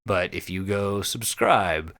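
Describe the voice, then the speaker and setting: A man's voice: low-pitched, takes it slowly, fairly bright tone. A man co-hosting a podcast in casual, joking banter, emphatic and heavily profane. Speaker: podcast co-host.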